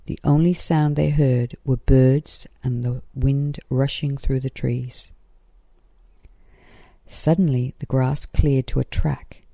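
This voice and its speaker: Very dark, mellow, gravelly storybook narrator. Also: mid-range voice, fairly even intonation, slow pace, dry recording. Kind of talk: children's story read-aloud, scripted narration.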